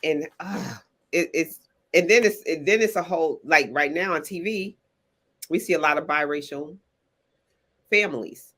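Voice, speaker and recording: A woman hosting a relaxed interview with a novelist, informal and chatty. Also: low voice, moderate pace. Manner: informal and chatty, drops phrases like "honey". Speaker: interviewer and festival founder. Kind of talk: casual conversational interview.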